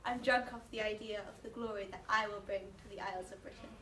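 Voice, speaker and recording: Woman playing patriotic soldier, declamatory slam poetry, bright, echoey, distant mic. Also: medium pitch, fairly even intonation, fast, lightly acted. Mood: proud, stirred. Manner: declamatory, impassioned. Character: patriotic young English soldier, fervent, later desperate. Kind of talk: dramatic slam poetry recital. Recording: noisy, echoey room, mic far off.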